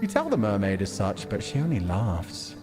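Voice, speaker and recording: A man's voice: fairly low pitch, huge pitch swings, normal speed, music in the background. A young adult man streaming a visual novel, reading narration aloud and reacting with casual, sweary commentary. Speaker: game streamer.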